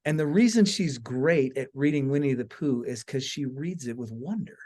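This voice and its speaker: A man's voice: medium pitch, expressive, normal speed, a little mellow. A man hosting a podcast in a casual, conversational style, informal and enthusiastic. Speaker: podcast host.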